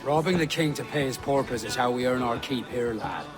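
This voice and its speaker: An actor playing a salty, skeptical, blustering veteran pirate with hearty seafaring talk in a video game. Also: male voice, mid-range voice, brisk, over music, lightly acted. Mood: skeptical, needling. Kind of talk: video game cutscene acting.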